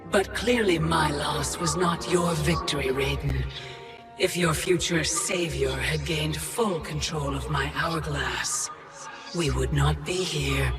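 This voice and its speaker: A woman's voice: low voice, loud music behind the voice. An actress playing an ancient, coldly menacing female Titan in a video game, speaking in formal, commanding tones. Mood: cold, persuasive. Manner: slow, formal, commanding delivery. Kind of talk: dramatic video game villain acting.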